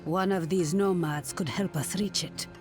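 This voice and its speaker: An actress playing a haunted, weakened woman, a cursed survivor in a video game, halting and strained. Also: lowish voice, fairly even intonation, lightly acted. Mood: weary, resolute. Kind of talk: dramatic video game dialogue. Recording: loud cinematic game music behind voice.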